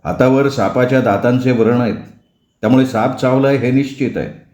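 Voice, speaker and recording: A man voicing a calm middle-aged village doctor who reassures worried people. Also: medium pitch, moderate pace, echoey room, lightly acted. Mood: reassuring.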